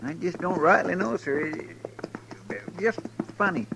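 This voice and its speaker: Folksy cowboy sidekick, highish voice, simple n loyal, old radio show w noise and hooves. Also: male voice, measured pace, vocal fry. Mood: puzzled, uneasy. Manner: respectful, hesitant, homespun. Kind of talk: 1950s radio western acting. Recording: noisy vintage radio recording, horse hoof effects.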